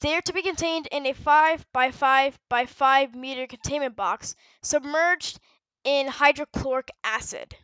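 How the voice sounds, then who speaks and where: A woman's voice: high voice, pushed and bright, dry recording, poor audio. A woman narrating a research log in a matter-of-fact, explanatory way, partly scripted and now and then correcting herself. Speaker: narrator presenting a fictional research audio log.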